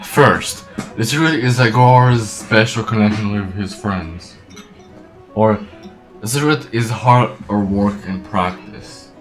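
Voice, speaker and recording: A man's voice: lowish voice, takes it slowly. A man casually reacting to a game as a streamer, with a slangy, laid-back drawl. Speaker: gaming streamer. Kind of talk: casual gameplay reaction, reading along. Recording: distant mic, faint music behind.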